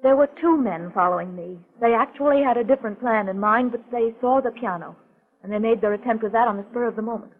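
Through a narrow, tinny 1940s radio recording comes a frightened woman in a mystery drama. Her voice is fairly high and slightly husky. She recounts her tale earnestly, with hesitant, dramatic composure. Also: fast talker.

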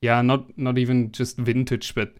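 A man's voice: medium pitch, flat delivery, moderate pace, smooth. A young adult man from Germany with a German accent, a guest in a casual interview, talking hesitantly with many restarts and fillers.

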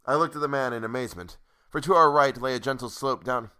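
Man putting on a measured old-fashioned English storyteller. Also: mid-range voice, bright, forceful tone, clean tone, voice pushed up, lightly acted. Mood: grave. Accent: put-on English. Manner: formal, measured, theatrical. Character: old-fashioned British storyteller recounting an eerie tale. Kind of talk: literary scripted narration.

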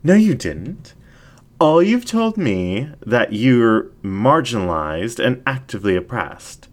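A man voicing a seductive, haughty fox incubus ringmaster in a story, with a drawn-out, theatrical, teasing delivery. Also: medium pitch, very animated, voice pushed up. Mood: commanding.

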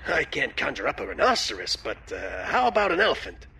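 Man playing an eager, gravelly, fast-talking theater hand. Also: a little high, huge pitch swings, sharp tone, heavily acted. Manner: eager, persuasive. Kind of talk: audio drama acting.

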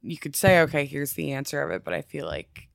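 A woman hosting a podcast, asking gentle, thoughtful interview questions. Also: low voice, sing-song, fairly quick, creaky, no echo, studio quality. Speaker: podcast host.

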